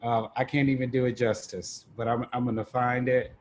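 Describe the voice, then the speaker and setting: A man facilitating an online group discussion in a halting, informal, casual way. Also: mid-range voice, flat delivery, darkish tone. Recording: noticeable background noise on online call.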